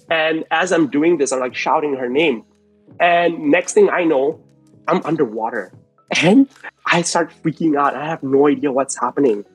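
A man's voice: a little high, breathy edge, no room sound, rough recording. Rambling young man, dry close sound. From Malaysia. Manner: loose and rambling, many likes and restarts. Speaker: podcast guest, artist. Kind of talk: casual storytelling interview. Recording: dry close mic, faint music behind.

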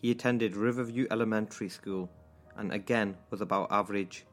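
A low-pitched man with a Mancunian accent co-hosts a podcast, talking casually and hesitantly, with plenty of ums. Faint music plays behind him. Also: steady tone, brisk, a bit bright. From England. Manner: hesitant, lots of ums and restarts. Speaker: podcast co-host. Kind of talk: casual chat and scripted true-crime narration.

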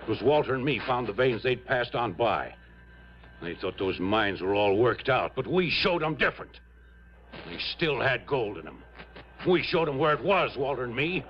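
A man's voice: mid-range voice, very animated, normal speed, lightly acted. An actor playing a middle-aged miner mourning his partner in an old radio drama, emotional and folksy, repeating himself. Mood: proud, wistful. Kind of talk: 1950s-60s radio drama acting. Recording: muffled, noisy vintage radio recording.

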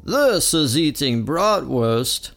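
A man's voice: fairly high pitch, very animated, takes it slowly, gravelly, fairly bright tone, faint music behind, full character voice. A man voicing a boastful, scornful older restaurant owner from a cartoon, with a thick put-on German accent.

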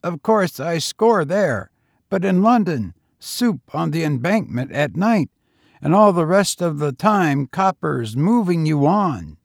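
Male reader as a husky, frail boy tramp; raised pitch, louder, dry. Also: big pitch swings, slow, no room sound, full character voice. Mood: pleading. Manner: eager, talkative, fatalistic. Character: frail teenage boy tramp, coughing and hoarse.

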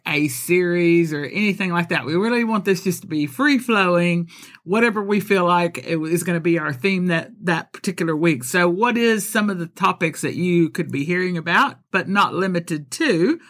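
Chatty high-voiced man co-hosting a podcast. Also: fairly bright tone. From born in Texas, raised in Colombia. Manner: chatty, laughs often, many fillers. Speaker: podcast co-host. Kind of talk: casual podcast chat.

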